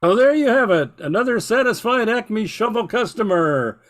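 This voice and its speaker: A man's voice: sits high, animated, moderate pace. An older man playing an intense, straightforward announcer in an old-time radio play, weighing every word. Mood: emphatic. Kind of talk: 1940s radio acting.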